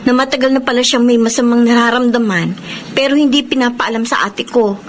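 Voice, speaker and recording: An actress playing a worried, suspicious, nagging wife with a Filipino accent in a radio drama. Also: female voice, on the high side, fairly quick, a little hiss or hum, close to an everyday voice.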